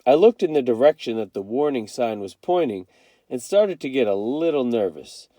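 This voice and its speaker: A man reading a first-person children's novel aloud as an expressive storyteller. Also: medium pitch, moderate pace, no echo.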